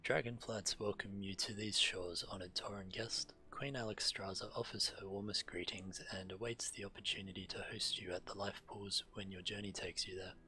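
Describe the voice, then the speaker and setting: A man streaming a game, reading quest text aloud in a measured way, now and then stumbling and correcting himself. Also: low voice, steady tone, sharp tone, rough recording. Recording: game music behind the voice. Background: video game music.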